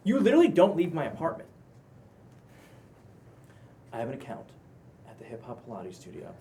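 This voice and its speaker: A man's voice: a little high, wildly swooping pitch, normal speed, mellow. A man playing a teasing, supportive roommate in a casual comedy-drama script read, sounding conversational and playfully sassy. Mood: encouraging. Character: Max, exasperated, teasing, supportive male roommate and friend. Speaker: actor at a table read.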